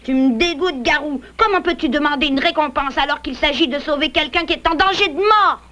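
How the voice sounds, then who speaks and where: A woman's voice: high-pitched, fairly quick, pushed and bright. A woman playing a brave, principled young beaver in a dubbed cartoon, earnest and decisive. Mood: indignant. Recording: muffled old VHS cartoon with music.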